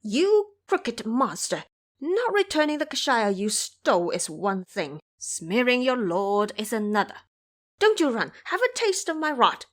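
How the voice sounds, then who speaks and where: A woman voicing a boastful male monkey king warrior who taunts and threatens his foes loudly. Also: a little high, very animated, a little slow. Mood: furious. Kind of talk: audio drama voice acting.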